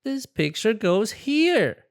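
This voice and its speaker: A storybook reader voicing a worried, exasperated little rabbit, protesting with expressive emphasis. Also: medium pitch, slow pace, creaky, clear, resonant tone, pitched above the performer's own voice, exaggerated voice.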